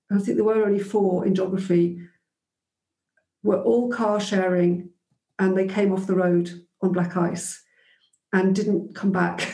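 A woman's voice: mid-range voice, warm tone, echoey room. A woman guest in an interview, reflecting thoughtfully on her teaching days, with frequent ums and restarts. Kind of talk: reflective conversational storytelling.

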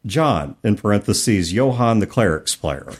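A man co-hosting a podcast in a casual, explanatory way, animated and expressive as he talks and reads aloud. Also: lowish voice, unhurried, slightly rough. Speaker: podcast co-host. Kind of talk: casual chat about tabletop gaming, reading passages aloud.

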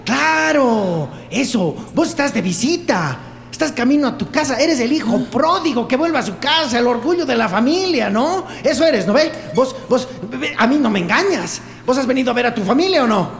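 A man's voice: very high voice, expressive, normal speed, creaky, heavily acted. An actor playing a jittery, accusing henchman in a radio drama, rambling and repeating himself aggressively with a Bolivian accent. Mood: mocking, suspicious. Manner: rambling, repetitive, aggressive interrogation. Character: jittery, accusing male henchman of a crooked butcher. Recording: long reverb, background noise and ambience.

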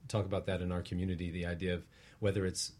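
A man's voice: low-pitched, little pitch movement, fast, a little mellow. A man speaking as a guest teacher, earnestly explaining spiritual ideas in a conversational way and often checking in with a short tag question. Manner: earnest, explanatory, often tags phrases with "right?". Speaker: guest, relationship practitioner and teacher.

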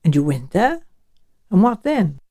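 Audiobook part: interrogator voiced by a woman, attentive and probing, economical delivery, soft-edged tone. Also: fairly low pitch, big pitch swings, a little slow, slightly rough, voice pushed down, full character voice. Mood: inquiring. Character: probing professional listener questioning an old lady.

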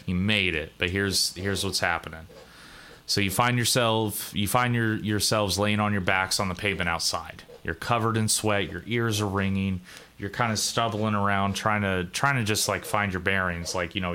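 Profane, casual game master, bright voice. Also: male voice, low voice, steady tone, normal speed. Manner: casual, profane, full of fillers and restarts. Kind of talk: narrating a tabletop game.

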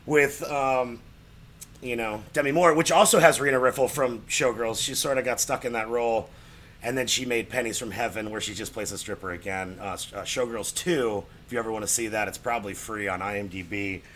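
A man in casual conversation about films, talking in a rambling, halting, crudely joking way. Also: medium pitch, fairly even intonation, a bit bright, a little hiss or hum. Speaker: podcast guest, actor. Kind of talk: casual film chat.

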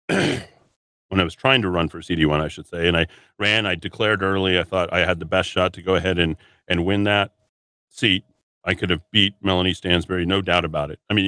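A male radio host talks straight into a dry, close studio mic with a forceful, bright edge to his fairly low voice. He rambles and restarts his sentences as he argues, and he sniffles from a runny nose. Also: fairly even intonation, a little fast, clear, resonant tone, no echo. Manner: combative, rambling, repeats words and restarts. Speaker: radio talk show host. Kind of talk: solo talk radio monologue.

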